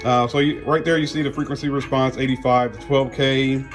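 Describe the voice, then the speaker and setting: A man's voice: mid-range voice, fairly even intonation, brisk, over music. An American man giving casual unboxing commentary as a car audio vlogger, talking in a folksy, slangy way.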